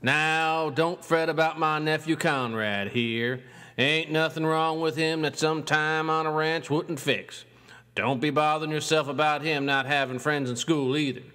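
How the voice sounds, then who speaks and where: An actor playing a brash, boastful cowboy uncle and zombie hunter in an audio horror story, with a folksy, coarse American Southern drawl. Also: male voice, fairly high pitch, bright, forceful tone. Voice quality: drawling country speech. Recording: long reverb, music underneath. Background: guitar music.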